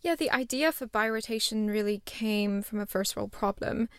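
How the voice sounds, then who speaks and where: A woman's voice: medium pitch, moderate pace, clear, resonant tone, dry recording, studio quality. A woman in her thirties, raised in Singapore, chatting casually as a guest in an interview and often laughing at herself. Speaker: startup founder, guest.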